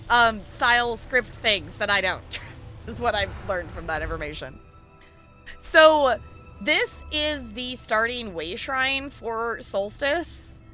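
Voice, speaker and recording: A woman's voice: fairly high pitch, sharp tone. A woman livestreaming a game, chatting casually and informally with her viewers as she plays. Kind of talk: casual live gameplay commentary and chat.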